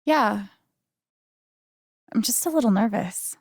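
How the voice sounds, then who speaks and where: A woman's voice: fairly high pitch, animated, takes it slowly, slightly rough, no echo, clean studio sound, heavily acted. A woman voicing a friendly, wry young newcomer in a video game, talking in a casual, naturalistic, dryly joking way. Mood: slightly nervous. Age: young adult.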